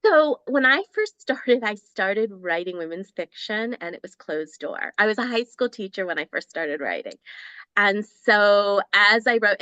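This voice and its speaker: A woman's voice: medium pitch, pushed and bright, no room sound, muffled recording. A woman in her fifties, a romance author talking casually and enthusiastically in an interview, laughing now and then and restarting her sentences.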